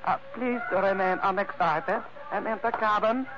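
A man's voice: high voice, moderate pace, lightly acted. An actor playing a smiling, sly henchman who speaks ornate, obsequious broken English in a 1940s radio adventure. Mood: smooth, polite menace. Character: smiling, sly, flowery-spoken Chinese henchman with a gun. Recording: noisy, narrow vintage radio recording.

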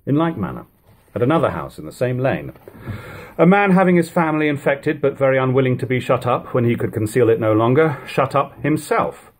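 A man reads an old literary narrative aloud in a measured, formal, dramatic way at home. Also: medium pitch, sing-song. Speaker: reader. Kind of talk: audiobook-style reading of an old literary narrative. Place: living room at home. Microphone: webcam or device camera at about arm's length.

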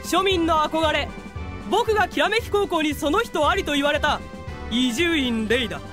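A woman playing a pompous, boastful rich male high school student with a haughty, theatrical delivery in an audio drama. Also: expressive, moderate pace, over music. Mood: haughty, showy. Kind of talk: Japanese audio drama acting.

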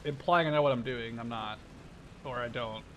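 A man's voice: mid-range voice, normal speed, a bit bright, a little hiss or hum. A man chatting casually and self-deprecatingly with a teammate while playing a video game. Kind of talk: casual gaming chat with a teammate.

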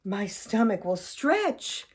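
A woman voicing an excitable, melodramatic young crocodile from a children's picture book. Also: mid-range voice, takes it slowly, husky, voice pushed up, heavily acted. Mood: panicked. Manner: dramatic, exclamatory, melodramatic. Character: excitable, panicky young crocodile who loves watermelon.

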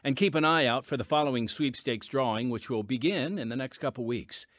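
A man's voice: medium pitch, a little fast, fairly bright tone, no room sound, studio quality. An American man hosting a podcast with polished, theatrical scripted narration, speaking directly to the listener as a friend. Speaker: podcast host and announcer.

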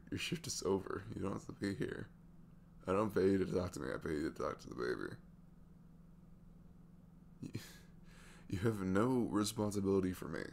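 A man playing a sweet, tired single dad in his twenties in an intimate ASMR roleplay, talking haltingly. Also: low voice, vocal fry, slight background noise. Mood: weary, gentle. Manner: hesitant, halting, softly chuckling.

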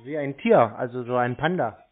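A man in a casual phone conversation, speaking in a deadpan, naively stubborn manner. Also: medium pitch, brisk, phone-quality sound. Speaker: host and prank call recipient.

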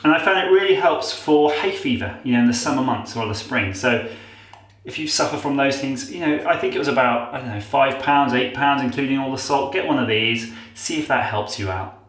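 A man's voice: mid-range voice, a little fast, fairly bright tone. A man in his thirties with a nasal voice, chatting through a casual how-to demo in a small tiled bathroom with a camera close in front, tap water running behind. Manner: chatty, self-mocking, rambling asides. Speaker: vlogger. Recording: echoey bathroom.

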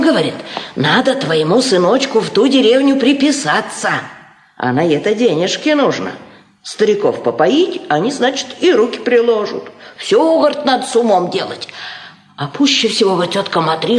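A woman's voice: medium pitch, big pitch swings, normal speed, lightly acted. An actress playing a scheming old Russian peasant mother in a 1950s Soviet radio drama, folksy and insistent, with rustic dialect. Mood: sly coaxing. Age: older. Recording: roomy vintage radio studio recording.

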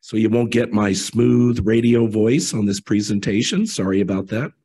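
A middle-aged man, an electrical engineer, giving a conference presentation in a relaxed, conversational way, his voice hoarse from a head cold. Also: fairly low pitch, moderate pace. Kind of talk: technical conference talk.

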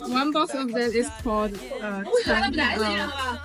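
A young woman from China chatting haltingly in English, with many fillers, in a café. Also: on the high side, over a noisy crowd. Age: young adult. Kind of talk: casual chat. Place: indoor café and mall.

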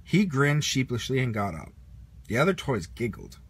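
A man reading a children's story aloud in an animated manner with a husky voice. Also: mid-range voice, normal speed. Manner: animated, puts on character lines. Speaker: storybook reader.

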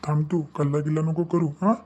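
A man's voice: on the high side, little pitch movement, a little fast, darkish tone, natural voice. A man voicing a reassuring middle-aged village father in a cartoon, folksy and dismissive, with a Vidarbha Marathi accent. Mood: calming. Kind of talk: amateur cartoon dialogue.

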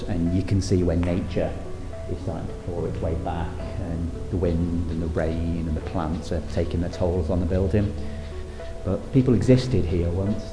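Brief: male explorer-vlogger, thirties, deep, dry humour, music bed. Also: low-quality recording. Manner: wry, chatty, marvels at decay. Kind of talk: on-location exploring commentary and voice-over narration. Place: outdoors and in derelict sheds.

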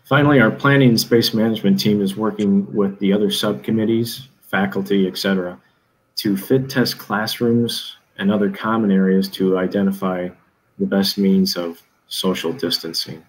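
Low-voiced man briefing on a video call. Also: fairly even intonation, normal speed, rough recording. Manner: businesslike, reads lists, frequent "um" and "uh". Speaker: facilities administrator presenting an update. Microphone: video call webcam.

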